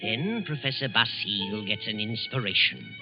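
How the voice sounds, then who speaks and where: A man narrating and hosting a 1940s radio suspense program in a measured, ominous, deliberate way. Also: mid-range voice, wildly swooping pitch. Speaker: radio show narrator and host. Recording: lo-fi 1940s radio recording with loud music. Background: loud music.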